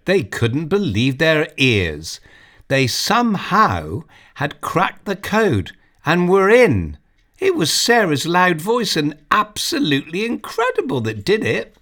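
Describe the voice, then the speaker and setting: A man reading a children's story aloud, narrating in an expressive, measured way. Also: on the high side.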